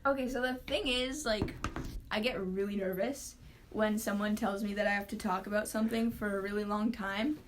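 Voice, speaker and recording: A woman talks as a guest in a loose podcast chat. She rambles easily and peppers her speech with fillers. Her voice sits at a middle pitch. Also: steady tone, moderate pace, a little hiss or hum. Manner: chatty, many "like" fillers. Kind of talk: casual chat.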